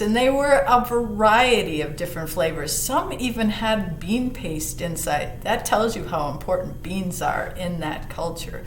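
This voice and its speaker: A woman reading a picture book aloud to children, expressive, putting questions to her young listeners and dropping into hushed asides. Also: medium pitch. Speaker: children's library storyteller. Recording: roomy echo, some background noise.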